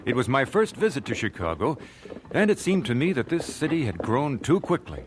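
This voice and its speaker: An actor playing a thoughtful, genteel American singer recalling his life in a radio drama, measured and well-spoken. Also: medium pitch, very animated, natural voice. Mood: reflective. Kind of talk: radio drama first-person narration. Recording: noisy old radio drama recording.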